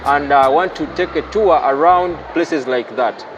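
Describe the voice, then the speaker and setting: A man's voice: fairly high pitch, measured pace, highs cut off. Ugandan man explaining over music. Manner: expansive, explains at length. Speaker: guest. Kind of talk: casual chat.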